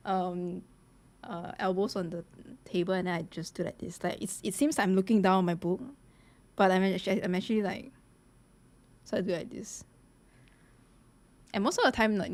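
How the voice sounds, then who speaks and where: A young woman from Malaysia chatting casually as she reacts to a game, expressive and prone to repeating phrases. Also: medium pitch, normal speed, long pauses. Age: young adult. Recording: game music behind the voice.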